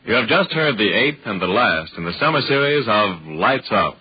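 A man's voice: fairly low pitch, very animated, moderate pace, breathy edge. An American man announcing in a 1940s broadcast style. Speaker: radio announcer. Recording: muffled vintage radio broadcast.

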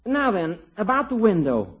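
A man's voice: high-pitched, wildly swooping pitch, moderate pace. An American actor playing an earnest, politely self-important young man in a 1950s radio sitcom. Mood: officious. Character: earnest, officious young man running a household. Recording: muffled 1950s radio recording.

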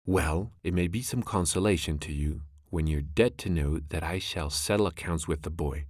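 A man voicing a vengeful female cobra in a storybook, hissing out slow, deliberate threats. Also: low-pitched, a little mellow, no room sound, clean studio sound. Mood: menacing.

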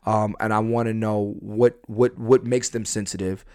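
A male podcast host from Philadelphia talks in a middle-pitched voice, fast and animated, tripping over restarts as he builds his point. His speech is loose and full of swearing. The sound is dry and close, with no room echo. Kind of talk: casual podcast chat.

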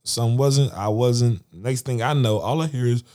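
A man's voice: mid-range voice, normal speed, a little mellow. A man co-hosting a podcast, talking bluntly and joking in casual banter. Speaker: podcast co-host.

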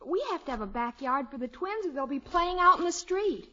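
A woman's voice: high-pitched, lively intonation, brisk, bright, forceful tone. A woman playing a sweet, anxious young wife and niece in a 1950s radio comedy. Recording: muffled vintage radio recording.

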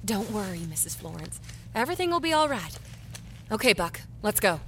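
An actress playing a wry, caring small-town library worker in an audio drama, teasing yet reassuring. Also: female voice, mid-range voice, very animated, a little fast, fairly bright tone, a little hiss or hum.

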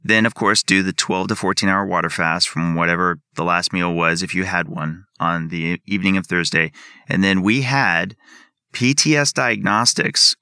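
A man's voice: low-pitched, moderate pace, pushed and bright, clean tone, dry recording, clean studio sound. A man as a podcast guest, methodically laying out the plan of his experiment. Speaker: guest researcher. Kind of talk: explaining an experiment.

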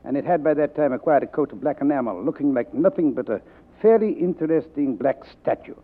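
An actor playing a suave, greedy fat crime boss with florid, courteous manners in a 1940s radio drama. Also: male voice, on the high side, expressive, fairly quick. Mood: genial, grandiose. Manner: florid, formal, courteous address. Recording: tinny vintage 1940s radio recording.

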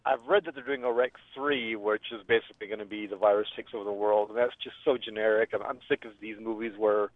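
A man's voice: lowish voice, steady tone, fast, hardly any pauses, dry recording. A man leaving a casual spoken review as a caller over the telephone. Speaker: caller giving a film review. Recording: dull, dry, phone-line voicemail.

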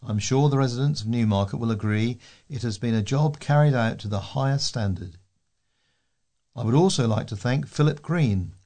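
A man with a middling pitch reads correspondence from a local paper. He sounds like a volunteer newsreader working through written letters for listeners. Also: darkish tone. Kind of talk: reading readers' letters aloud for a talking newspaper.

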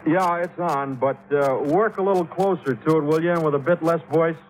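A man's voice: fairly high pitch, brisk, close to an everyday voice, low-quality recording. An actor playing a harried, resentful studio man in a 1950s radio drama, muttering with weary sarcasm. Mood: weary, sarcastic.

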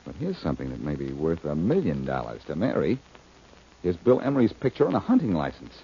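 A man's voice: extremely low pitch, huge pitch swings, fairly quick, creaky, mellow, slight background noise, full character voice. An American actor playing a confident, commanding, wisecracking male sleuth in 1940s radio detective style. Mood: brisk, intent. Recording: dull old radio recording.

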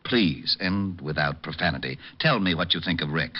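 Casting: blustering cop boss, sarcastic, low voice with big swings, old-time radio. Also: male voice, animated, normal speed. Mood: exasperated. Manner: blustering, sardonic. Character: gruff, exasperated, sarcastic police lieutenant. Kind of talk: 1950s radio drama acting. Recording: muffled old radio recording.

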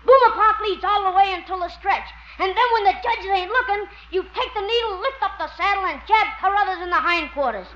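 Woman acting a cheeky, very high-voiced schemer. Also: brisk, hardly any pauses, fairly bright tone. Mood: teasing, scheming. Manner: teasing, slangy. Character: cheeky, slangy, wisecracking schemer. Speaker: supporting performer in a radio comedy. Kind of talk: 1950s radio comedy acting. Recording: dull, hissy vintage radio recording.

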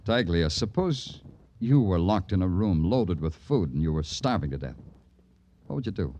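An actor playing a wry, wisecracking New York police detective in a mid-century radio noir. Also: male voice, low voice, sing-song, moderate pace, warm tone, dull audio, slight background noise. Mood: dry, sardonic. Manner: wry, sardonic, clipped. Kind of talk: 1950s radio noir narration and dialogue.

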